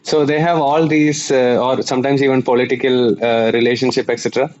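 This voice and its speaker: A man's voice: medium pitch, little pitch movement, fairly quick, darkish tone. Man on slightly dull audio. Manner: explanatory, with many uh fillers and restarts. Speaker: guest author and journalist. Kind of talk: conversational interview.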